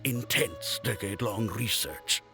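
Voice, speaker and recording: A man voicing a pompous, boastful, excitable archaeologist in a video game, with a declamatory delivery. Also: lowish voice, a little slow, music in the background.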